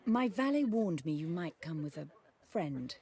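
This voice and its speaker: A woman's voice: on the low side, big pitch swings, moderate pace, darkish tone, breathy edge, heavily acted. A woman playing a composed older noblewoman in a fantasy video game, formal and polite with a dry wit. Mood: guarded, courteous. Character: genteel, composed older noblewoman, wry widowed mother. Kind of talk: video game character acting.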